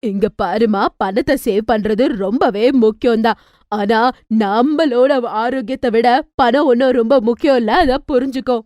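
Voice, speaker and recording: A woman as a reproving older mother-in-law, lower-toned. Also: fairly even intonation, a little mellow, no echo, clean studio sound, close to an everyday voice. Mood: earnest advice. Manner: admonishing, measured.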